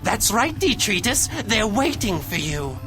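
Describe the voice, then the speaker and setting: A man's voice: sits high, very animated, a little slow, music in the background. A man playing a showy robot gambling referee in a video game. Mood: measured. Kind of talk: animated video game dialogue.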